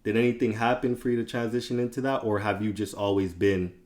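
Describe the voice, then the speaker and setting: A man with a fairly low voice hosts a relaxed interview. He asks questions in a loose, conversational way. He often repeats a word or two before he gets going. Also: fast talker. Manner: casual, repeats words when starting a question. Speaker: podcast host. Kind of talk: casual interview.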